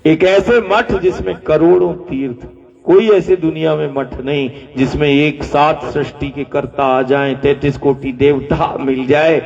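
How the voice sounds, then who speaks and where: A man's voice: a little high, steady tone, unhurried, gravelly, darkish tone. A man giving a devotional discourse to a gathering, rhetorical and repetitive, asking listeners to repeat lines back, recorded from a distance at an outdoor gathering. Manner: rhetorical, repeating phrases, asking the crowd to repeat after him. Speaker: spiritual teacher. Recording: dull distant live recording with long reverb.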